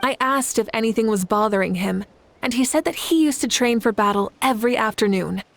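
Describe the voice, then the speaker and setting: An actress playing a confident, composed heroic leader with a playful side in a video game, speaking in an assured, wry manner. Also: female voice, fairly high pitch, brisk, creaky, a bit bright, dry recording. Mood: earnest, assured. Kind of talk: video game character dialogue. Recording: music behind the voice.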